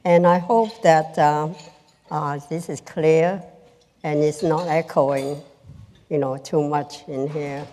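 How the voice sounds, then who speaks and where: An older woman from Hong Kong, a businesswoman telling her life story on a panel in a slow, halting way with many pauses. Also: sits low, fairly even intonation, dark tone. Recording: long hall reverb.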